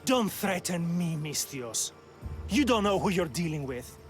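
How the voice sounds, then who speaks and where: An actor playing a brusque, self-important village magistrate in a video game set in ancient Greece, haughty, scornful and threatening. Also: male voice, a little high, big pitch swings, a little slow. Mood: defensive, curt. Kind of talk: dramatic video game acting. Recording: game cutscene with music behind.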